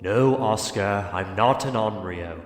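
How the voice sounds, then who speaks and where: A man's voice: lowish voice, slow pace, soft background music. A man playing a wry, mocking, lonely spirit who narrates an audio drama in a theatrical manner. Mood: wry, grave.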